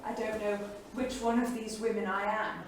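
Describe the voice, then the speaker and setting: An actress playing a restless, flirtatious 1930s bohemian wife in a theatrical staged reading, gushing and affected, with a brittle edge. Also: female voice, medium pitch, steady tone, normal speed, natural voice. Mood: brittle, weary. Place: small theatre studio, indoors. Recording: echoey theatre studio from far off, faint noise.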